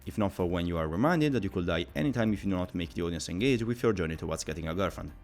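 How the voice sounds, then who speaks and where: A man's voice: deep voice, animated, very fast, a little mellow. A man narrating a scripted video game review in a wordy, opinionated, somewhat formal way. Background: background music.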